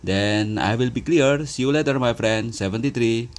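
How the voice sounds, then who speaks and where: A man's voice: medium pitch, normal speed. A man with an Indonesian accent speaking on amateur radio, formal and friendly, using radio sign-offs. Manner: formal radio etiquette, repeats "roger" and "my friend". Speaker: ham radio operator. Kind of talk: two-way radio chat.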